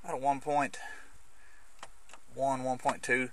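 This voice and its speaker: A man giving a casual, hands-on walkthrough as a video host, with somewhat halting, hesitant phrasing. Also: medium pitch, little pitch movement. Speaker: host of a how-to video on CB radio installation. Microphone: handheld camera's built-in microphone. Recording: clicky handheld camera audio, background noise.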